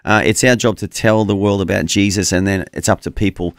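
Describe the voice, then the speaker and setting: A man's voice: low voice, fairly even intonation, brisk, dry recording. Earnest man, dry studio sound. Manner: earnest, preacherly, urging listeners. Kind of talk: Bible teaching chat.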